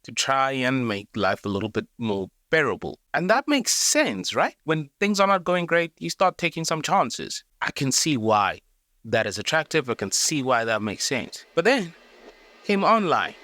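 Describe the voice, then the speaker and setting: A man's voice: mid-range voice, sing-song, normal speed, pushed and bright, no echo, studio quality. A South African man hosting a documentary-style video, speaking earnestly and directly to the viewer. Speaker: video essay host. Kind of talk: scripted documentary narration.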